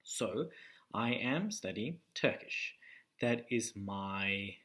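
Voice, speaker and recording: A young man casually talking to his channel about learning languages, pausing often with ums and uhs as he thinks aloud. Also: on the low side, animated, fairly bright tone. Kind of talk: casual talking-head vlog.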